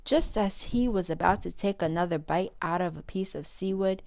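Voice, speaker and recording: A woman reading a children's storybook aloud as a storytime host, with expressive, careful phrasing. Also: on the low side, normal speed, creaky, no room sound.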